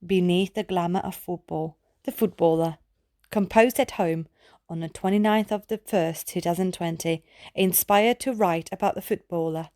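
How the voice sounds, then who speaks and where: A woman reading children's poetry aloud from a script in a measured, deliberate, clearly enunciated way. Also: fairly low pitch, a little mellow, no room sound, studio quality.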